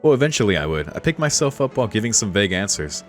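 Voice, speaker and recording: A man's voice: fairly low pitch, sing-song, rapid-fire, soft background music. A man streaming a visual novel, mixing read-aloud narration with casual commentary. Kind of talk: casual let's-play commentary and reading aloud.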